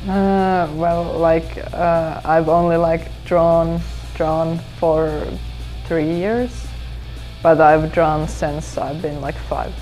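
A teenage exchange student from Finland, a girl who speaks English as a second language, gives slow, halting answers full of fillers and small laughs. Her voice sits low for her age, and a faint guitar plays quietly underneath as she talks in a school hallway. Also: level tone, low-quality recording. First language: Finnish. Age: teens. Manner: hesitant, many fillers, soft laughs. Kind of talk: casual interview.

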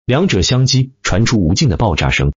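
Husky, deep-voiced male audiobook narrator. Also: sing-song, brisk, no echo, dull audio. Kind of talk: dramatic scripted narration of a fantasy web novel.

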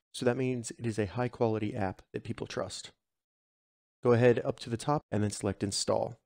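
A man narrates a how-to tutorial as its host. His pitch is average, and he lays out instructions step by step. The sound is close and free of room echo. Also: a little mellow. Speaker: host of tech tutorial channel.

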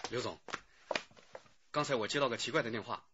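一名男演员在广播剧里饰演一位警觉尽责、语气急切而恭敬的接警员。. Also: male voice, mid-range voice, fairly quick, lightly acted. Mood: deferential. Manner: urgent, deferential to superior. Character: alert, dutiful male police phone operator. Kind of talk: radio drama acting. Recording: dull audio with faint noise.

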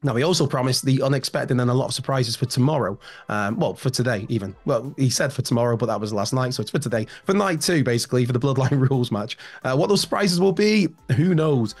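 A man in his thirties hosting a solo wrestling news show, his voice slightly hoarse and raspy, chatty and rambling with fillers and asides, recorded at home on a studio mic. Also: mid-range voice, expressive, fast talker, faint music behind. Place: home room with shelves, indoors. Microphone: large studio mic in front, headphones.

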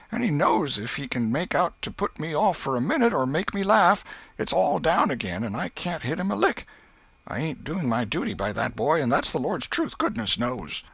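A man voicing an exasperated but soft-hearted old country aunt in an audiobook, with a rural Southern American accent and folksy, fretful scolding. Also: a little high, sing-song, moderate pace, gravelly, breathy edge, no room sound, pitched above the performer's own voice, full character voice. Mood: fretful, fond. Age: older. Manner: folksy scolding and fretting, dropped g's. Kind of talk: audiobook character voice.

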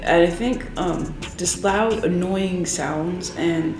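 A woman's voice: fairly low pitch, slow, over music. A middle-aged woman from Rhode Island, a singer chatting as an interview guest, with lots of ums and laughs. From Rhode Island, USA. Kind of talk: casual interview chat. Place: hotel room, indoors. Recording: roomy hotel room echo.

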